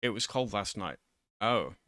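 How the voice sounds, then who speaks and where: Hesitant man with a bright voice. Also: lowish voice, lively intonation, measured pace, dry recording. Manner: hesitant, rambling, self-deprecating. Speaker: online video host. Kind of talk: informal, improvised language lesson.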